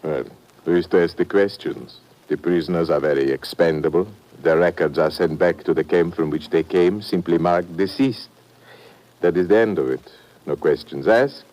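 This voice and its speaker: A grim, earnest Czech man played by a male actor with a fairly low voice. He speaks formally and carefully, in the style of a vintage radio thriller. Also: normal speed, darkish tone, highs cut off, natural voice. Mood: conspiratorial. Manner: formal, careful, uncontracted phrasing. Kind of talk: old-time radio thriller acting.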